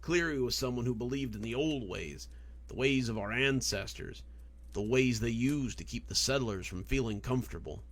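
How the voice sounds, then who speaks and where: A man voicing an angry, bitter man at a bar, gruff and insistent, in a storybook reading. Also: mid-range voice, moderate pace, natural voice.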